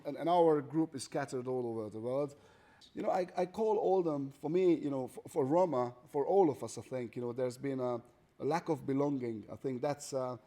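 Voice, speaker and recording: A man's voice: medium pitch, dark tone. A man in his thirties from Hungary, speaking in a conversational way and often checking in with "right?" and "you know". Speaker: guest artist.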